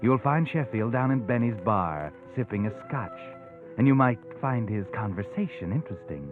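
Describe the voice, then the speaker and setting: Loud music swells around a tinny old broadcast of an actor as a sardonic male mystery narrator. His low voice is knowing and insinuating, taunting with theatrical suspense. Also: animated, normal speed, close to an everyday voice. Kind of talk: 1940s radio suspense narration.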